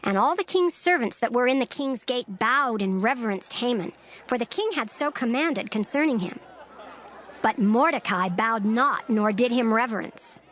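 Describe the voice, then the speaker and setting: A woman narrator reading dramatized scripture in a measured, formal storytelling manner. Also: a little high, lively intonation, a bit bright, a faint crowd.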